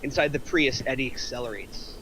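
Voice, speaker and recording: A man's voice: medium pitch, fairly quick, fairly bright tone, a little hiss or hum, rough recording. A man narrating, reading out screenplay stage directions at a table read.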